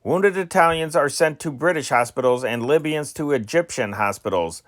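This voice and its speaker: A man reading wartime newspaper reports aloud as an amateur newsreader, phrase by phrase in a deliberate manner, with an occasional stumble. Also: on the high side, expressive, fairly bright tone, no room sound, studio quality. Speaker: amateur news reader and channel host.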